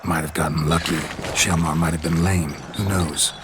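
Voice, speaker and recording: A man playing a stoic, world-weary monster hunter in a video game, gravelly and hushed, with a terse deadpan delivery. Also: low voice, normal speed, over loud music, lightly acted. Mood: blunt, skeptical.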